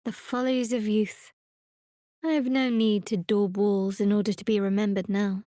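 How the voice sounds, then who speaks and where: A woman playing a guarded, sardonic young woman cleric of a dark goddess in a video game, with a dry manner. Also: mid-range voice, level tone, normal speed, dry recording, lightly acted. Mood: measured, wry. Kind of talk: video game character voice acting.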